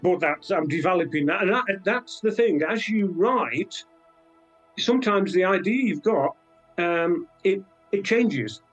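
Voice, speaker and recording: A British man speaking as a guest author in a conversational interview, halting and reflective, often trailing into asides. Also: high voice, animated, faint music behind. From Britain.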